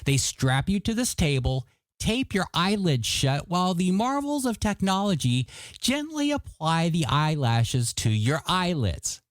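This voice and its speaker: A man's voice: a little high, sing-song, unhurried, dry recording. A man working as a radio DJ on a classic hits show, with jokey, punchy announcer patter over music. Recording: radio aircheck with music underneath.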